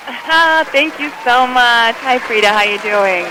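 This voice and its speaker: A woman's voice: fairly high pitch, a little slow, background noise. A middle-aged woman from Sweden with a Swedish accent, telling her story in a friendly, upbeat way as a radio interview guest. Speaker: photographer guest. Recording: radio broadcast.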